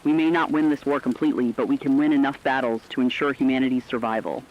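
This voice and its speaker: Guy playing an earnest radio co-host during the end of the world, solemn speechy delivery, medium pitch, kinda muffled lofi audio. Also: fairly even intonation, fast, lightly acted. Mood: solemn, hopeful. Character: solemn, humane male radio commentator in an apocalypse. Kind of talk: scripted emergency broadcast commentary. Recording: dull, lo-fi radio sound.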